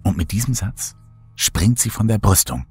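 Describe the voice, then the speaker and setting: Husky low-voiced male horror narrator. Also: very animated, moderate pace, darkish tone, soft background music. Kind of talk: scripted audiobook narration.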